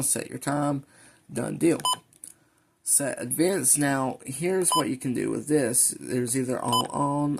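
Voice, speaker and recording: Gravelly man giving a casual product demonstration. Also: medium pitch, background noise. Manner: halting, informal, step-by-step explaining. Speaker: vlogger reviewing a weather radio. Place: indoors at a desk.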